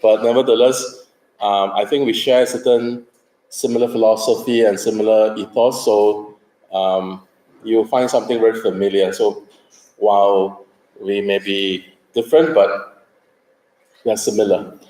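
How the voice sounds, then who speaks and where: A man's voice: fairly low pitch, steady tone, unhurried, warm tone. A man from Singapore gives a conference presentation, hesitant and full of ums, with light jokes. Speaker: conference speaker. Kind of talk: presentation talk. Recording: roomy hall echo.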